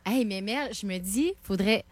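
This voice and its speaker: A woman's voice: mid-range voice, measured pace, no echo, clean studio sound. A woman co-hosting a radio show, curious and casual. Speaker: radio co-host.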